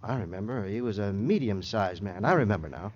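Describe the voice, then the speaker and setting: A man's voice: lowish voice, expressive, a little fast, darkish tone, slightly rough. An American actor playing an evasive, streetwise male witness in a vintage radio crime drama, brash, slangy and rambling. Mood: defensive, rambling. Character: evasive, cocky, fast-talking wounded male witness, streetwise. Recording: muffled old radio recording.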